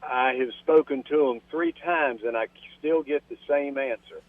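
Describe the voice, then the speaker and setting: A man's voice: medium pitch, normal speed. Older man calling in over a phone line. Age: 70s. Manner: earnest, polite, says "ma'am". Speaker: caller. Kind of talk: call-in radio question.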